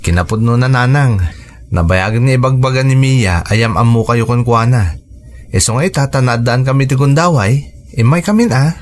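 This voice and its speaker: A man's voice: mid-range voice, normal speed, soft background music, natural voice. Young man in love played by an actor, mid-range voice, earnest, polite to his elders, radio drama acting. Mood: explaining. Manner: earnest, respectful. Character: devoted, respectful young son in love, quietly resolute.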